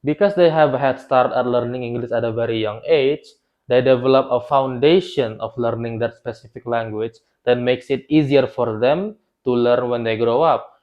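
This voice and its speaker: A young man whose first language is Indonesian, presenting an explainer video on learning languages in a loose, unscripted way, with frequent restarts and fillers. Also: medium pitch. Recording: slightly dull lo-fi sound.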